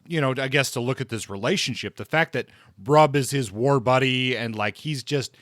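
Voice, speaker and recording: A man's voice: medium pitch, moderate pace, fairly bright tone, no echo, studio quality. A man co-hosting a book discussion podcast, talking casually and thinking aloud as he goes. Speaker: podcast co-host discussing books.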